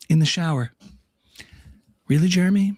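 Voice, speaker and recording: A man's voice: on the high side, darkish tone, slightly rough, no room sound, studio quality. A man playing a smug, suspicious female conspiracy podcaster, repeating phrases insistently in a mock-dramatic commentary. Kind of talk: satirical impersonation of a commentary podcast.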